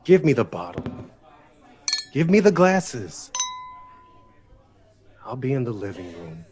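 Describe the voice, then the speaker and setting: A man's voice: medium pitch, very animated, slow, darkish tone. An actor playing a composer's devoted but exasperated male partner in a 1960s audio drama. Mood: resigned.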